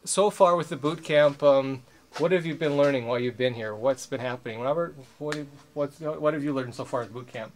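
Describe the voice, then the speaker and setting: A man's voice: mid-range voice, fairly quick, background noise. A man, interviewing people casually on camera in an informal, prodding, teasing way.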